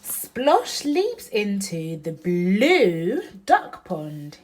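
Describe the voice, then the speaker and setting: A woman reads a picture book to a small child in a slightly breathy, husky voice. Her pitch rises and falls in a lively sing-song, and the story lines come very slowly and emphatically. Between them she adds chatty asides and simple questions, as a mother would. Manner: child-directed, asking questions and pointing out pictures. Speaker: mother reading aloud to her young child.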